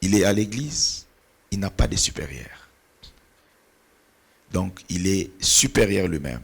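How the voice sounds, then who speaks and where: A middle-aged man whose first language is Portuguese talks about mentoring in church with a preacher's earnest cadence. His voice sits low and gravelly with a husky edge, and he sometimes pauses to hunt for the right word. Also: big pitch swings. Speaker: pastor and mentor.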